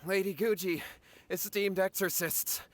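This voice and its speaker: A man's voice: high-pitched, fairly even intonation, a little slow, dry recording, faint music behind. A man voicing an earnest, anxious villager in an anime video game, urgent and deferential. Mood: urgent, relieved.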